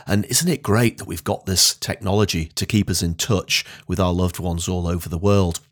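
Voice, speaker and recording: A man's voice: deep voice, normal speed. A man hosting a podcast in a chatty, emphatic way, introducing and interviewing a guest. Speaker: podcast host. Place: indoors at home. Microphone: remote video call interview.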